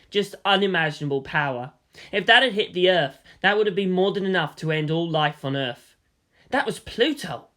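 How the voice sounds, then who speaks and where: A man with a rather high-pitched voice reads prose fiction aloud. He delivers it as scripted story narration, as the reader of a tale. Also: fairly bright tone.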